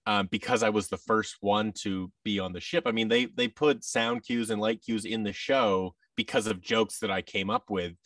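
A man in his thirties with an American accent, chatting casually as an interview guest and telling anecdotes in an expressive way. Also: lowish voice, normal speed, few pauses, no echo, clean studio sound, recorded from a distance. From Seattle, United States. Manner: animated, anecdotal, acts out quoted thoughts. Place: large furnished indoor room, video call. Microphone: boom mic and headset, slightly off-mic.

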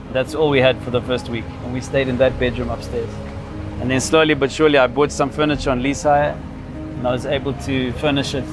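Zimbabwean guy in his 50s doing a vlog, chatting about old times, medium pitched, music playing behind him. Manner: reminiscing, explanatory. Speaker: vlogger. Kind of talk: casual personal storytelling.

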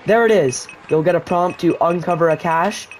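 A man's voice: high-pitched, normal speed, faint music behind. A man giving a casual, rambling voice-over tutorial for a survival video game, explaining as he plays. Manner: relaxed, rambling explanation with restarts. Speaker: gaming YouTuber.

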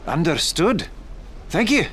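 Affable ship's officer, fairly high voice, very animated pitch, short courteous phrases, radio drama. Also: male voice, slow, a little hiss or hum, full character voice. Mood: genial. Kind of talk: audio drama acting.

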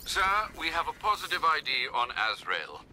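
An actor playing a loyal older butler in a video game, formal, articulate and dryly deferential. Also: male voice, a little high, wildly swooping pitch, fairly quick, pushed and bright, a little hiss or hum, exaggerated voice, rough recording. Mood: briskly informative. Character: courteous, dry, loyal older male butler assistant. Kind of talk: video game cutscene acting.

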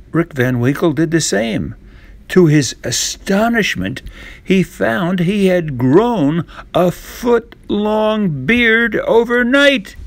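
A man narrating a scripted classic tale as a storyteller, in a measured way. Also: on the high side, creaky.

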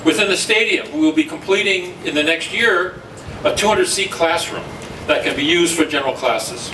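Guy walking through details calmly, slightly high voice, echoey room. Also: moderate pace. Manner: steady, explanatory. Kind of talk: explanatory press conference remarks. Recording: echoey room from far off, noisy.

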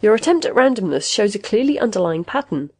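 A woman's voice: medium pitch, very animated, quick pace, a little mellow, voice pushed up. A woman voicing a condescending therapy machine in an audiobook, formal and patiently probing, full of clinical jargon. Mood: clinical, assured.

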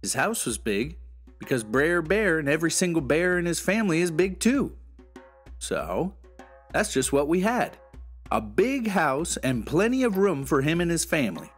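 A man telling a folksy scripted story as a storyteller, with drawn-out, animated delivery. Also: on the high side, faint music behind.

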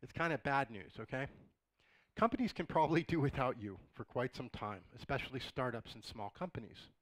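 A middle-aged man talks through a conference lecture in a relaxed way, dropping joking asides to the audience. His voice is slightly raspy and sits at an ordinary pitch. The sound is dry and close. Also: brisk, no echo, poor audio. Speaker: conference speaker. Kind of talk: conversational lecture. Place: dark auditorium, in front of a projected slide.

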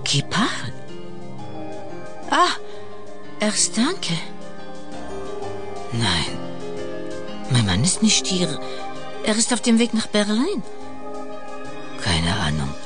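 A woman's voice: medium pitch, wildly swooping pitch, extremely slow, pauses often, heavily acted. A woman playing a minister's guarded wife, giving short, hesitant answers in a radio drama. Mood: guarded, weary. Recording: music behind the voice.

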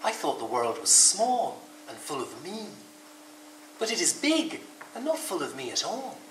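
Guy doing a stubborn grouchy storybook rabbit, honest deep down, roomy far-off mic. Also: lively intonation, slow, a little hiss or hum. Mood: awed. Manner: blustering when cross, hushed when awed.

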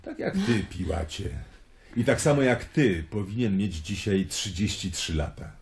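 A worldly Roman traveler from a radio play, with a slightly low voice. He spins his tales eloquently and wryly, with plenty of melodic swing in his delivery. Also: animated, unhurried, darkish tone. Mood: earnest, persuasive. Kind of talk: radio drama acting.

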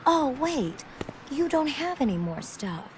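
A woman's voice: sits high, big pitch swings, slow, a little hiss or hum, full character voice. An actress voicing a streetwise, sarcastic young punk woman in a video game cutscene. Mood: wry, teasing. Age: young adult. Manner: wry, sarcastic, teasing.

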